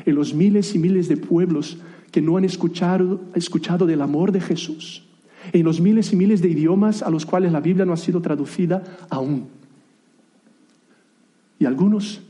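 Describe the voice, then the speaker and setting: Preacher, thirties, male, Portuguese native; higher-pitched, mellow voice, chatty sermon delivery with restarts. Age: 30s. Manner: conversational, self-deprecating asides, frequent restarts.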